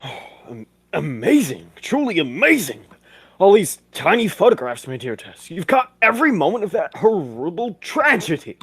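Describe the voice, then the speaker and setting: A man acting a pompous, excitable old-fashioned gentleman sidekick in a 1940s radio play, with flowery exclamations. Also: sits high, moderate pace, slightly rough, dry recording, exaggerated voice. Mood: astonished.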